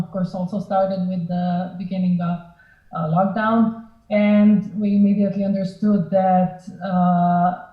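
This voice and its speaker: A woman from Slovenia speaking as a film festival organizer in an unscripted panel discussion, explaining things step by step in a conversational way. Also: medium pitch. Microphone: online call played in a room. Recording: echoey, dull lo-fi online sound.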